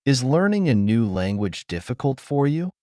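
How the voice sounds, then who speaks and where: A man acting as examiner, reading out speaking-test questions and channel notes in a formal, measured way. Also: medium pitch, very animated, warm tone, no room sound, studio quality. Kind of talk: scripted exam questions.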